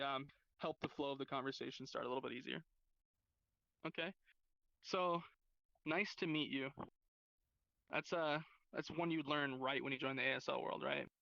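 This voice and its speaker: A man's voice: mid-range voice, creaky, pushed and bright, clean tone, lo-fi sound. A man teaching an informal sign language class in a casual, rambling, slangy way over online voice chat. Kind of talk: informal lesson. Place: online virtual class hub.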